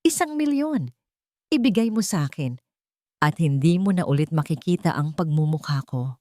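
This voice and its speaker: A woman's voice: low voice, animated, normal speed, mellow, dry recording, clean studio sound. Woman voicing a smug, menacing male blackmailer. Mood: demanding. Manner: taunting, threatening. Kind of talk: dramatized audio romance story.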